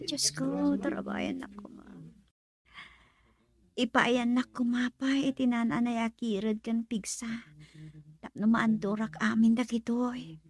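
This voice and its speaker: A woman voicing a poor, hardworking young daughter in a radio drama, speaking in an earnest, pleading way with a husky, creaky voice. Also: a little high. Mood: distressed, prayerful. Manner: pleading, prayerful. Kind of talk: Ilocano radio drama acting.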